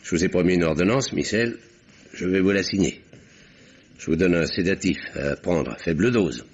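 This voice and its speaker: Deep, husky country doctor. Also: male voice, normal speed. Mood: firm, reassuring. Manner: authoritative, scolding. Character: gruff, stern male country doctor. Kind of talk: old French radio drama acting. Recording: dull vintage radio recording.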